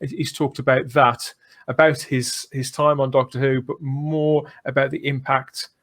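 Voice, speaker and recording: A man's voice: mid-range voice, flat delivery, a little slow, a bit bright. A man hosting a podcast, talking in a conversational, discursive way and often ending on tag questions. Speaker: podcast host.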